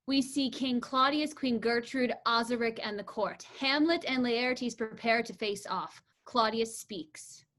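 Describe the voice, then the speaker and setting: A woman's voice: on the high side, unhurried, hardly any pauses, fairly bright tone. A woman reading scripted scene narration for a radio play. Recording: faint background noise and clicks.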